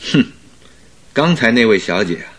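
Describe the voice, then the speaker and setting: A man's voice: medium pitch, big pitch swings, normal speed, a bit bright. An actor playing a detective's male companion in a radio drama, thoughtful, with a grumbling, teasing manner. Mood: miffed, teasing. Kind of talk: Mandarin radio drama acting.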